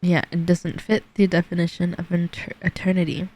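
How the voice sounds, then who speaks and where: A woman's voice: on the low side, completely flat delivery, brisk, noisy background. A woman streaming a game, giving informal, emotive reaction commentary into a close mic. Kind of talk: casual gameplay reaction commentary.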